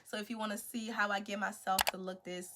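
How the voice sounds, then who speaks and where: A woman's voice: medium pitch, little pitch movement, brisk, heavy background noise. Female beauty vlogger. Mid-range voice, casual, chatty, playful delivery straight to viewers. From Liberia, West Africa. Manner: chatty, playful, repeats "okay" as a tag, slangy.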